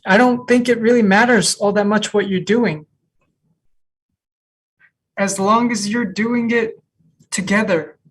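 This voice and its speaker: A woman's voice: mid-range voice, a little slow, pauses often, husky, low-quality recording. A woman playing an earnest co-op worker in a comedy table read. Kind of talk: virtual table read of a sitcom script.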